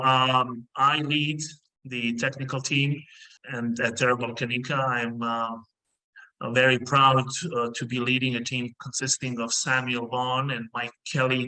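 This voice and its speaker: A middle-aged man from Bosnia, a company CEO, speaking in a business interview over a video call, measured and full of filler pauses. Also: medium pitch, little pitch movement, pushed and bright, rough recording. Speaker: mining company CEO, guest. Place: indoor room.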